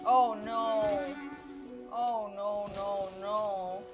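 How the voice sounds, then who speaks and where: A woman's voice: mid-range voice, steady tone, extremely slow. A woman live-streaming a game, commenting casually and muttering informal asides as she plays. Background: game music.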